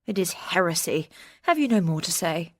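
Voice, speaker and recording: A woman's voice: on the low side, expressive, dry recording. An actress playing a proud, witty young noblewoman with an arch, haughty, self-possessed manner, in a Shakespearean radio drama. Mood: mocking, amused. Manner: arch, dry wit, measured.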